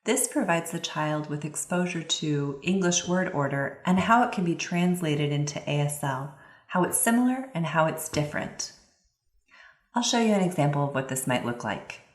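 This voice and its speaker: A woman giving instructional advice to parents in an expressive, emphatic way, with examples of reading aloud. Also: low-pitched, normal speed, reverberant.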